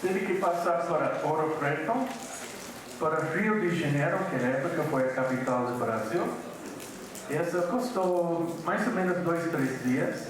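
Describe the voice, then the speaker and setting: Slow, halting older man in an echoey hall. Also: a little high, crowd noise. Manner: slow, halting, mixes in Portuguese words. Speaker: keynote speaker. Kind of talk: conference lecture. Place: large university auditorium. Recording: echoey hall from far off with audience.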